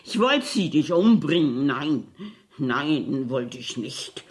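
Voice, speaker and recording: An actress in a 1970s German radio crime drama playing a distraught woman making a halting confession. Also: rumbling low, expressive, exaggerated voice. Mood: anguished, halting. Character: distraught woman confessing to police, cat owner.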